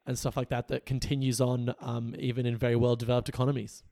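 Young man, podcast co-host, hoarse and gravelly, chatty. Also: medium pitch, little pitch movement, fast talker, warm tone. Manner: chatty, laughs easily. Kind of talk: casual political chat.

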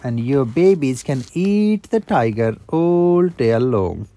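A man voicing a clever, boastful wolf father who proclaims loudly and grandly. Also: medium pitch, animated, slow pace. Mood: booming proud.

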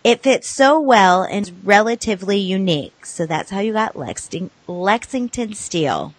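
A woman's voice: medium pitch, very animated, normal speed, no echo, poor audio. A woman co-hosting a casual podcast chat, swearing freely, reading things aloud and laughing with her co-host. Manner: laughing, profane, bantering.

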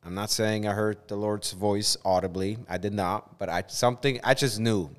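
A man's voice: lowish voice, steady tone, moderate pace, long reverb tail. A man from Switzerland in his thirties, a non-native English speaker, telling his story conversationally as a pastor on a podcast. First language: not English. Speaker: community engagement pastor, podcast guest.

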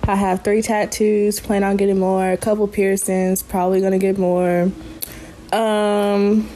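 A woman in her twenties, co-hosting a casual podcast with a relaxed, sassy delivery. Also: mid-range voice, measured pace. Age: 20s. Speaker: podcast co-host. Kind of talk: casual chat.

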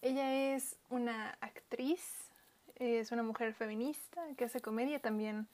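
A woman hosting a podcast, friendly and casual, filling pauses with little words. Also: a little high, steady tone, a little mellow. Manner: friendly, uses fillers like "este" and "bueno". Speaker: podcast host.

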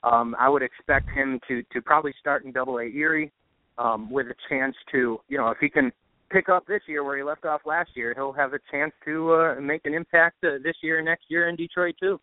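A man speaking as a baseball announcer guest in a casual sports interview, thinking aloud haltingly and repeating words. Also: fairly high pitch, fairly even intonation, no room sound. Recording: narrow phone-like line.

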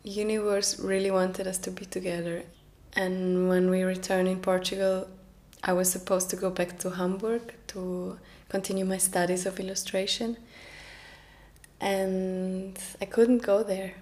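A young woman speaks English slowly and softly. Her pitch stays quite level as she tells her story, pausing and restarting now and then. She sounds reflective and unhurried. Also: little pitch movement. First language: Norwegian. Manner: soft and reflective, with small restarts. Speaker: podcast host. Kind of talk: personal storytelling.

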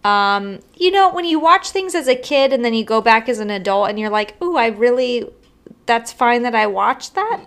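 A woman's voice: fairly high pitch, animated, normal speed, a bit bright. A woman co-hosting a podcast, chatting and giggling, sharing one microphone with her co-host. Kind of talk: casual chat.

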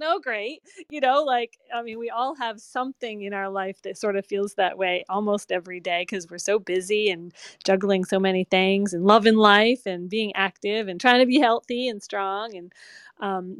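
A woman's voice: mid-range voice, clear, resonant tone, no room sound, clean studio sound. A woman joining a talk by remote call, speaking in a chatty, upbeat way with many fillers. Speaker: guest caller. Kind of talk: casual chat.

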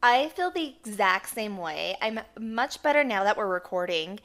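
A woman in her twenties co-hosting a podcast, a bit hoarse from losing her voice, telling stories in a chatty way and laughing often. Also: medium pitch, very animated, normal speed, a bit bright. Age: 20s. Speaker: podcast co-host. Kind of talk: casual chat.

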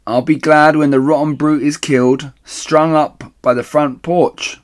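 A man voicing a huge, grumbling servant woman in a children's storybook, calling out loudly up the stairs. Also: on the high side, steady tone, measured pace, voice pushed up, natural voice.

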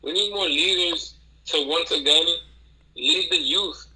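A man's voice: on the high side, measured pace, pushed and bright, poor audio. A man speaking casually as a podcast guest, earnest and emphatic, often repeating phrases and posing rhetorical questions. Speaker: podcast guest, streetwear entrepreneur. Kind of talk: casual interview conversation.

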